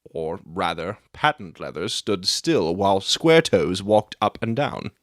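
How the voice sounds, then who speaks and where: A man's voice: sits low, sing-song, slow pace, no room sound, clean studio sound, full character voice. A man playing a brilliant, proud detective in a dramatic audiobook reading, lecturing in a precise, self-satisfied, matter-of-fact way. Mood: assured, confiding.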